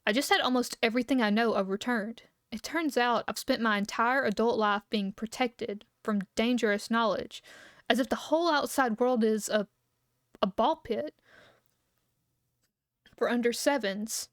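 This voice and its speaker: A man's voice: extremely high pitch, moderate pace, a bit bright, no room sound, studio quality. Woman reading as a bewildered young researcher. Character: rattled young male researcher, disoriented and earnest.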